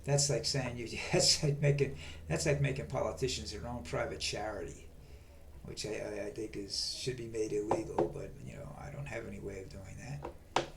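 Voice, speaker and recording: An older man hosting a rambling vlog monologue, with a monotone voice and a halting way of talking full of fillers and restarts. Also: fairly low pitch, vocal fry, noisy background. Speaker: vlog host.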